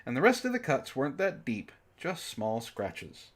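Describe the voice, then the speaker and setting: A man's voice: medium pitch, wildly swooping pitch, normal speed. A man hosting a comic book club, reading a story aloud and breaking off with exasperated, incredulous commentary. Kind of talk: reading fan fiction aloud with mocking commentary.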